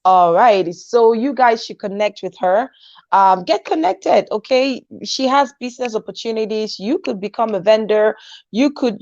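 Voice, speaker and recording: A woman's voice: mid-range voice, darkish tone, dry recording. A woman hosts a live online talk show, reading out viewer comments and encouraging her guest, with frequent laughter. Her voice comes through a remote call, dry with no room sound, and slightly dull and muffled. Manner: encouraging, laughs often, reads comments aloud.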